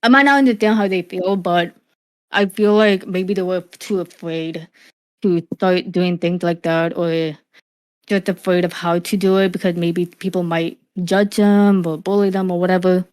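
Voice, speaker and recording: A young woman with a slightly low voice speaks in a loose, unhurried way in an interview. A speech impediment from her hearing loss gives her words a distinctive shape. She often restarts her sentences. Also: fairly even intonation, a little mellow. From Cuban, living in the USA. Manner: frequent fillers and restarts, laughs easily. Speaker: streamer, interview guest. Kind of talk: casual interview answers.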